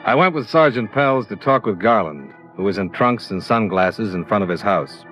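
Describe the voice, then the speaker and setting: An actor playing a cool American insurance investigator in a vintage radio drama, speaking in a clipped, matter-of-fact way. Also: male voice, fairly low pitch, lively intonation, normal speed, a bit bright. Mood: businesslike. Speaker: lead actor and narrator. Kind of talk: 1950s American radio detective drama acting. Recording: muffled old radio recording, organ music.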